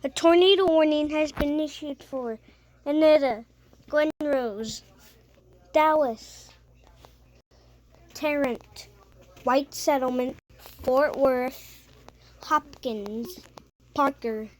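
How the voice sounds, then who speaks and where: High-pitched, slow amateur weather announcer. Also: low-quality recording. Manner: halting, with stumbles and self-corrections. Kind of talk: amateur mock TV weather emergency broadcast.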